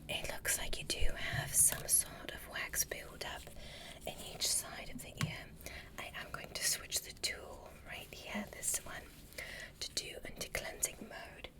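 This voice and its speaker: A woman whispering an ASMR medical roleplay as an examiner, giving soft, gentle, reassuring step-by-step instructions. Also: unhurried. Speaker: ASMR performer playing an alien medical examiner.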